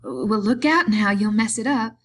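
A woman's voice: medium pitch, unhurried, close to an everyday voice. Woman acting a vain, chatty young farm wife. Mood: warning. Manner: complacent, casual folksy talk. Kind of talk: acted audiobook dialogue.

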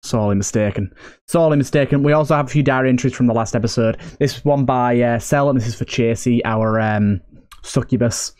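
A man's voice: mid-range voice, brisk, darkish tone, smooth. A man giving casual commentary as he plays a video game, as host of a let's play.

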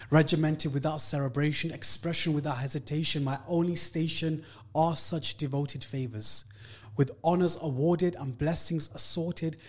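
A man's voice: on the high side, a little mellow. A man from Leicester, England, a guest poet on a radio show, talking about poetry and reciting spoken-word verse in a rhythmic, emphatic way, recorded in a radio studio. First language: not Urdu.

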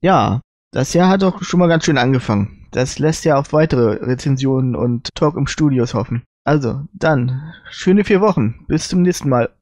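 A man's voice: mid-range voice, animated, normal speed, darkish tone, dry recording. A man speaking casually as a panelist on a podcast. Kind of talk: casual chat.

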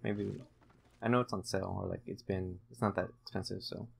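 A young man giving low-key, explanatory commentary while playing a video game at home. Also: fairly even intonation, fairly quick, vocal fry, husky. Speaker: gaming channel host playing the game. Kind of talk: casual let's play commentary. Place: room at home.

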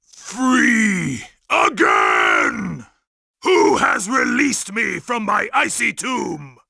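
Evil old mask demon for a game, super gravelly, shouty and menacing, big theatrical bellow. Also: male voice, high-pitched, wildly swooping pitch, drawn-out words, no echo, clean studio sound, heavily acted. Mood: gloating menace. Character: evil, booming, wrathful ancient witch-doctor mask spirit. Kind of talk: video game voice acting.

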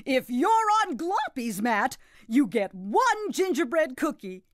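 A woman playing a jolly, doting grandmother who hosts an animated children's game in a gushing, sing-song way. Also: high voice, takes it slowly, no room sound, clean studio sound, exaggerated voice. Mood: cheery, inviting.

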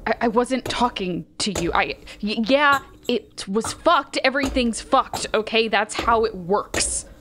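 A woman playing a shaken, weary, foul-mouthed character who pleads haltingly in a horror audio drama. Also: on the high side, noisy background, lightly acted. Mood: defeated, bitter. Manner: halting, stammering, exasperated.

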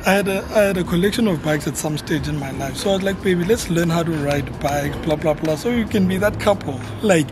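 A man's voice: fairly high pitch, brisk, few pauses, music in the background. Joking South African man vlogging. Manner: relaxed, joking, teasing his wife. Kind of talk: casual vlog chat. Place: outdoors on a city street and cafe terrace. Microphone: camera held at arm's length.

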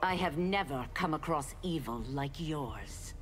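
An actress playing a grave, scornful warrior woman in a video game, solemn and deliberate. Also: sits low, expressive, soft background music. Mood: disgusted.

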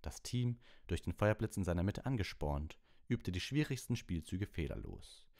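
A man's voice: low-pitched, sing-song, moderate pace, gravelly, darkish tone. A man reading a story expressively as an audiobook narrator.

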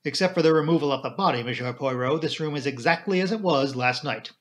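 A male reader performs an English colonel serving as chief constable in a classic mystery audiobook. He is breezy and gentlemanly, official yet affable, pitched a little high. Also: fast talker, close to an everyday voice. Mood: hospitable. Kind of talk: audiobook character dialogue.

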